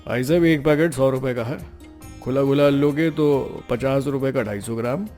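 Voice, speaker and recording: A man's voice: medium pitch, normal speed, mellow, soft background music, lightly acted. A man voicing a brusque, take-it-or-leave-it market vendor in a cartoon story.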